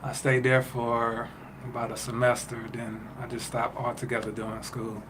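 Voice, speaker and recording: Man from Detroit, music producer guest, even monotone delivery, noticeable room noise. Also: medium pitch. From Detroit, Michigan. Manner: halting, many fillers and restarts. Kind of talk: casual interview answers. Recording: noisy ambience behind voice.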